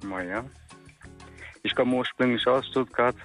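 A man's voice: mid-range voice, measured pace, a bit bright. Man, 30s, radio caller, friendly, faint background music. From Italy. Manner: friendly, asks questions. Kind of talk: casual phone chat.

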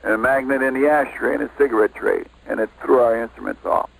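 An older man with an American accent casually reminiscing as an interview guest, halting and repeating himself. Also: medium pitch, vocal fry, dry recording, poor audio.

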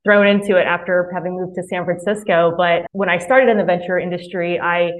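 A woman's voice: on the low side, steady tone, fairly quick. A woman in her thirties from Mississippi, a podcast guest talking earnestly about her career with frequent restarts. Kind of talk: podcast interview. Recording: tinny phone-like line.

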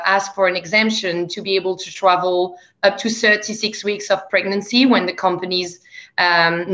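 A woman with a French accent talks through a casual interview, pausing often with ums and uhs. Her voice is bright and forceful, and the recording sounds slightly dull and lo-fi. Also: medium pitch. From France. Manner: hesitant, many ums and uhs. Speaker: guest.

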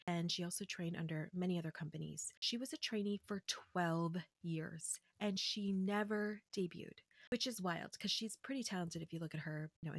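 A woman's voice: fairly low pitch, creaky, no room sound. A woman hosting a solo podcast, talking in a chatty way with casual asides. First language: English. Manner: chatty, with asides and light laughs. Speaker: podcast host. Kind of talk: scripted solo commentary.

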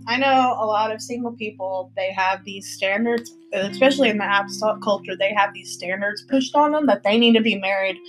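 Chatty young woman, warm agreement. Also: medium pitch, fairly quick, hardly any pauses, over music, low-quality recording. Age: 20s. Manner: affirming, chatty, frequent agreement. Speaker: podcast co-host. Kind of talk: casual faith podcast chat.

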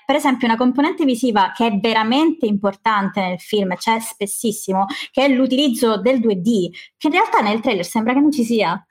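A woman hosting a casual film discussion, explaining at length in an enthusiastic, halting way. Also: fairly high pitch. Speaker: podcast host.

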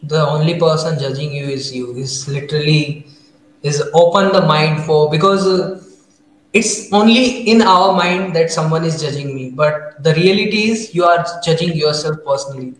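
Man, high pitch, effusive, appreciative, interview host, echoey room sound. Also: normal speed. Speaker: show host. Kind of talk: interview hosting. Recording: roomy, echoing sound.

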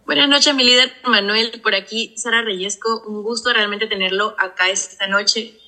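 Woman, 20s, bright tone, enthusiastic, polite caller. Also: medium pitch, fairly even intonation, normal speed. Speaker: caller asking a question. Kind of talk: asking a question on a group call.

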